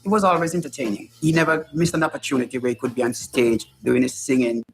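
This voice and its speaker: A man's voice: mid-range voice, fast talker, gravelly. Older man telling stories about his son. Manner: animated storytelling, acts out quoted dialogue. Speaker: documentary interviewee, a father.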